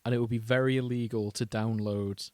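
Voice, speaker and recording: Man, casual comic podcast chat, mock-serious delivery, medium pitch, dry recording. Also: fairly even intonation, fairly quick, darkish tone, dry recording. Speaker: podcast host.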